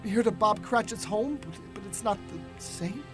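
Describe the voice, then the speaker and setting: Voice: medium pitch, lively intonation, moderate pace, music in the background, natural voice. A young adult performer playing an old repentant miser in a radio drama, theatrical and stammering. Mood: fearful, mournful.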